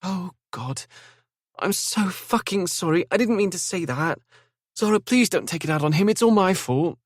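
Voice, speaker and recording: A man voicing G, an impulsive, chatty young woman who is quick to apologize and cry. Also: high-pitched, lively intonation, dry recording, clean studio sound, pitched above the performer's own voice. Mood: remorseful. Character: young woman G, impulsive, gushing, easily tearful.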